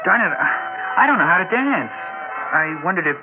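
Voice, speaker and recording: A man's voice: high voice, sing-song. A man playing a bashful, earnest teenage schoolboy with a hesitant manner in a 1960s radio drama. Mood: bashful, awkward. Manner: hesitant, halting. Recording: tinny 1960s radio recording, music behind.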